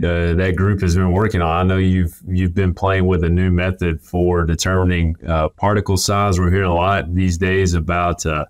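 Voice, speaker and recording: American guy co-hosting a podcast, really deep voice, casual, on a video call. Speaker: podcast co-host.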